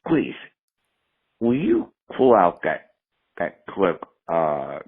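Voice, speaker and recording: A man's voice: low-pitched, huge pitch swings, drawn-out words, gravelly, husky. A man leaving a rambling, profane voicemail for a show, jumping from topic to topic. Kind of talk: rambling phone message. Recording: tinny phone line voicemail.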